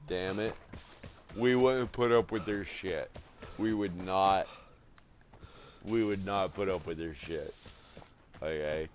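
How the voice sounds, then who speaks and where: A man's voice: low voice, slow pace, long pauses, soft background music. A man from California streaming a game, chatting in a crude, rambling, heavily swearing way. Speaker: gaming streamer. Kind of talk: casual profane banter while gaming.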